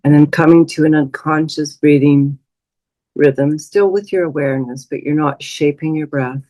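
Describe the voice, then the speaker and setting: A woman leading a guided meditation as a teacher, speaking in a soothing, unhurried way with long pauses. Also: sits low, fairly even intonation, mellow, no echo, low-quality recording.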